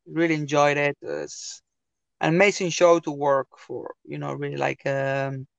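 Middle-aged guy from south america, slightly high voice, dry close webcam sound. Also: lively intonation, normal speed, gravelly, dry recording. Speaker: guest clown. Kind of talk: casual interview chat. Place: home room with tiled wall. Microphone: webcam on video call.

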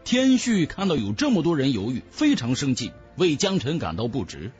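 Highly animated male audiobook narrator. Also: normal speed, faint music behind. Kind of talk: dramatic scripted narration of a fantasy novel.

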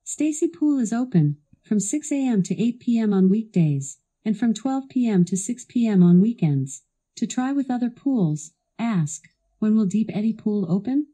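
A woman's voice assistant delivering scripted product demo narration in a polished, instructional, clearly enunciated way. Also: a little high, animated, normal speed, mellow.

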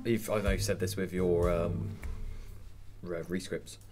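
A man's voice: sits low, fairly even intonation, unhurried, music in the background. A young man in a casual group chat as a cast member, tentative and agreeable in manner. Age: young adult.

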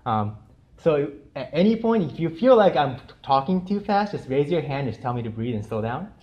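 A man's voice: on the high side, big pitch swings, normal speed, a little mellow, muffled recording. A young man from Japan, whose first language is Japanese, giving a casual, chatty talk about his art to students in a lecture room, full of friendly fillers. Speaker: artist giving a gallery lecture. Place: university lecture room with projector screen.

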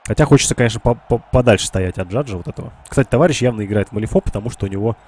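A man with a low, husky voice and a bright edge commentates his game live. He sounds congested and nasal from a cold. His delivery is casual, as he reasons through each move aloud for his viewers. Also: racing. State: sick with a cold. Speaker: game streamer.

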